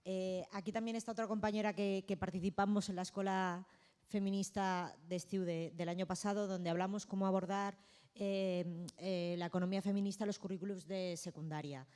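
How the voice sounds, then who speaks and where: A woman's voice: medium pitch, steady tone, fairly quick, darkish tone. A woman, a teacher, making hesitant but committed remarks at an assembly.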